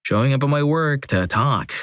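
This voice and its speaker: A man narrating a compilation of first-person stories in a steady, scripted reading, with dry, wry asides. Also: mid-range voice, moderate pace, clear, resonant tone, dry recording.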